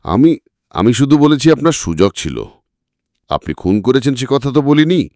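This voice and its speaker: A man's voice: medium pitch, very animated, moderate pace, darkish tone, no echo, clean studio sound, pitched above the performer's own voice, close to an everyday voice. A man voicing a confident private detective in an audio story, setting out a case in a methodical, emphatic, accusing way. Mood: firm. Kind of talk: dramatic audiobook character reading.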